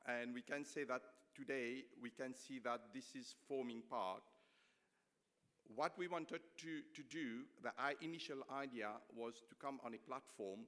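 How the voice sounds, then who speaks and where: Male conference organiser, mid-pitched, halting closing-remarks delivery with frequent restarts, recorded in an echoing hall. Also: a bit bright. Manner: halting, repeats and rephrases himself. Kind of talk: informal closing speech to a conference. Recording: echoey hall with long reverb.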